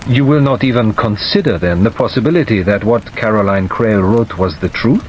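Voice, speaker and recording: A man playing a courteous, persistent male detective in an audiobook, questioning politely and deliberately. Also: lowish voice, a little hiss or hum, natural voice, rough recording. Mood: exasperated. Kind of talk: audiobook character dialogue. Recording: steady rain sound over the reading.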